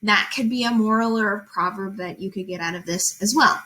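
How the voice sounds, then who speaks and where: A woman's voice: medium pitch, brisk, pushed and bright, rough recording. A woman reading fables aloud and explaining them as a teacher, in an informal, conversational way with guiding questions.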